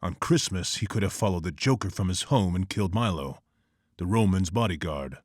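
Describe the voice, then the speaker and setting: A brooding vigilante detective speaks very low and close, with no room around him. He is terse, serious and measured, in motion comic drama. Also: animated, no echo. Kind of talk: scripted motion comic acting.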